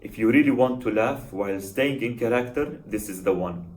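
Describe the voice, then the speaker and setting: A man's voice: lowish voice, a little fast, a little mellow. Earnest man giving analytical commentary. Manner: earnest, analytical, with occasional repeated words. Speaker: channel host reviewing an app. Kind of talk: spoken commentary and critique.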